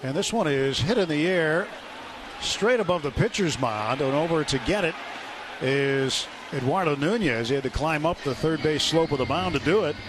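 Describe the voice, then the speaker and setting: A man's voice: on the high side, moderate pace. A man calling baseball play-by-play live on the radio in short, clipped phrases. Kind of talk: live radio sports commentary. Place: stadium broadcast booth. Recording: steady stadium noise behind voice. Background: ballpark ambience.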